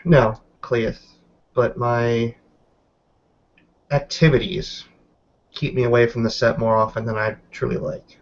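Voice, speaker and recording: A man's voice: mid-range voice, steady tone, slow pace, gravelly, long pauses, a little hiss or hum. A man roleplaying a guarded female werewolf newcomer in a tabletop game, choosing formal words with careful hesitations. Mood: candid. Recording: dull lo-fi voice recording.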